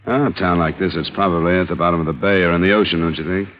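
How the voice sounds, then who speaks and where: An actor playing a hard-boiled insurance investigator who also narrates, cool and terse, in 1950s radio drama style. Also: male voice, low-pitched, fairly even intonation, racing, close to an everyday voice. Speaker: detective and narrator. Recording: old lo-fi radio broadcast with music.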